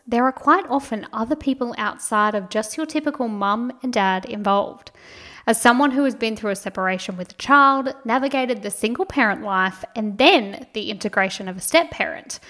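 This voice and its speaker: A woman reading a scripted podcast introduction in a measured, earnest way. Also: mid-range voice, fairly bright tone. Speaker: podcast host.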